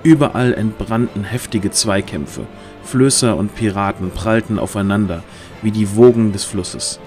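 Low-voiced male fantasy narrator. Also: measured pace, a little mellow. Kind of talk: dramatic scripted fantasy audio drama narration. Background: music.

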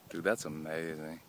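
A young man narrating a friend's launch on a mountain slope, joking, swearing and laughing, recorded on a phone outdoors. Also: a bass voice. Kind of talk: excited casual narration. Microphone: phone camera held by speaker. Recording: outdoor phone video with faint wind noise.

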